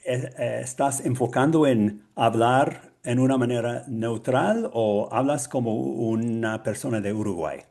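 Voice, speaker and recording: Slow, halting man with a Mexican-tinged accent. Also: medium pitch, vocal fry, a little mellow. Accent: Mexican-influenced Spanish. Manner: hesitant, searching for words with many fillers. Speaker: Spanish student and co-host. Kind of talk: casual podcast chat.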